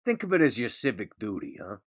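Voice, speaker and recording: A man's voice: fairly low pitch, sing-song, quick pace, no echo, studio quality. A man voicing a blunt, wry male vampire with an offhand way of talking.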